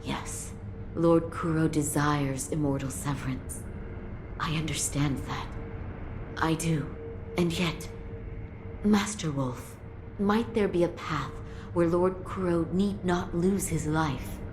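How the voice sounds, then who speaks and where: Low-voiced, compassionate, troubled woman. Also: takes it slowly, noisy background. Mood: earnest, troubled. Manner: soft-spoken, polite, earnest. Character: calm, compassionate adult woman, courteous and troubled. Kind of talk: dramatic game cutscene acting.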